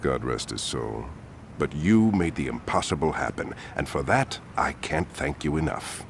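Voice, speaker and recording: An actor playing a smug, scheming male politician villain in a video game cutscene, smooth and condescending. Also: very deep voice, very animated, moderate pace, slight background noise, heavily acted. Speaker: corrupt politician.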